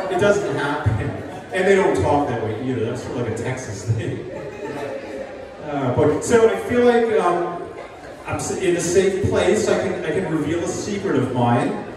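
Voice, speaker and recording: Older male stand-up, high sing-song delivery, recorded from far off in a reverberant hall with a crowd laughing. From New Jersey. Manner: sing-songy, talks as if everything's a question. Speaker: stand-up comedian. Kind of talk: stand-up comedy set. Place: live venue with an audience. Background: audience laughter.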